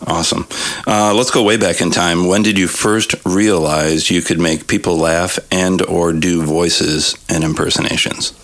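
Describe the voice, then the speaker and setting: Low-voiced man, casual interviewer. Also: steady tone, unhurried, a bit bright. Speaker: podcast host, interviewer.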